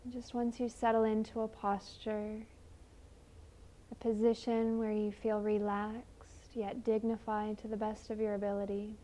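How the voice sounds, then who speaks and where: Slow, mellow woman guiding meditation. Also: medium pitch, flat delivery. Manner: gentle, soothing, unhurried, with long pauses. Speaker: meditation guide. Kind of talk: guided meditation.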